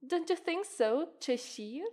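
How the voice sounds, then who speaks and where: A woman voicing a playful, flirtatious witch in an ASMR roleplay, speaking slowly and teasingly, with an Italian native tongue. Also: sits high, lively intonation, a little mellow.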